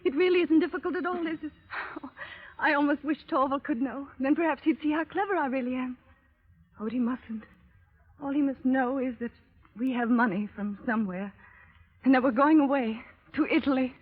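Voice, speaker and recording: An actress playing an eager, coaxing, childlike young wife in vintage radio drama style, with breathless, gushing delivery. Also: female voice, sits high, fairly quick, pauses often, breathy edge. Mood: relieved, excited. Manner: breathless pleading, exclaiming and gushing. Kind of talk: 1930s-40s radio drama acting. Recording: muffled, band-limited vintage radio recording.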